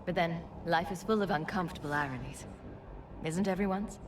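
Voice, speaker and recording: A woman playing an earnest, composed scientist in a sci-fi video game, speaking in a measured, articulate way. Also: fairly low pitch, background noise, lightly acted. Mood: reflective. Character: earnest, composed adult woman scientist, former research physicist.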